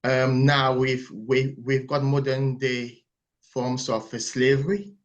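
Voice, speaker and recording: A man's voice: medium pitch, flat delivery, takes it slowly, dull audio. A man from Cameroon making a thoughtful, hesitant point in an online church webinar, often restarting words. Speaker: webinar participant. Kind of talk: online discussion contribution. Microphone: video call.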